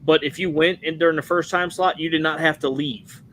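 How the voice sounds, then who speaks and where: A man's voice: on the high side, steady tone, fairly quick, fairly bright tone, rough recording. A man in his forties, chatting casually on a stream panel with a laid-back, self-mocking manner. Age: 40s. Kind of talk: casual chat.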